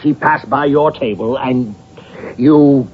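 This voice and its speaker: An actor playing a pragmatic, blustering university president, halting and exasperated, in a radio drama. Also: male voice, medium pitch, slightly rough. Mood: wheedling pressure. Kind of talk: vintage-style radio drama acting. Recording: dull, noisy old radio broadcast recording.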